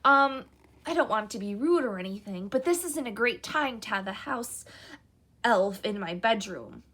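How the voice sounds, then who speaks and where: A woman's voice: mid-range voice, animated, normal speed, husky. A woman voicing a nervous, polite young boy wizard who talks awkwardly. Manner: nervous, polite, hushed at times.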